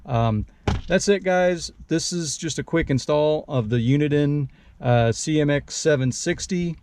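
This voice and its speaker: A man's voice: on the high side, unhurried, darkish tone, a little hiss or hum. A man giving a casual, unscripted product walkthrough as a channel host, in a hesitant, rambling way with frequent repeated words.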